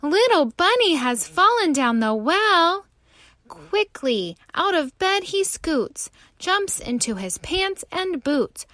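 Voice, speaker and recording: A woman reads a picture book to young children in a high voice, unhurried and theatrical. Her pitch swings widely as she tells the story, in the expressive style of a storytime host. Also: no room sound. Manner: animated, theatrical delivery.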